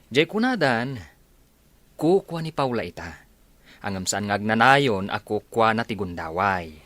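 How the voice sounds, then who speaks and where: A man's voice: on the low side, sing-song, a little slow, a bit bright, no echo. A man playing a jobless, sympathetic village husband in an Ilocano radio drama. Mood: wry concern.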